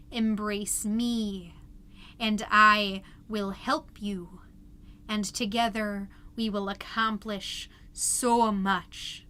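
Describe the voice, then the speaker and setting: A woman voicing an entranced mother in eerie revelation, speaking in slow, repetitive incantation for an audio drama. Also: mid-range voice, bright, forceful tone, a little hiss or hum. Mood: eerie, beckoning. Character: entranced mom lost in eerie, zealous revelation. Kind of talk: scripted audio drama monologue.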